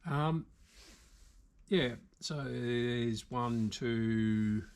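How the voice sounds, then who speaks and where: An Australian man giving casual, unscripted hands-on repair commentary, halting now and then as he thinks aloud. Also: mid-range voice, a little mellow, clear, resonant tone. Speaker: video host, radio repairer. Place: indoor workshop.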